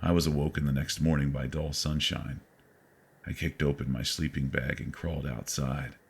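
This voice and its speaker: Very deep gravelly male horror narrator. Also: little pitch movement, slight background noise. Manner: deliberate, suspenseful delivery with dramatic pauses. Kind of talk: dramatic first-person horror story narration.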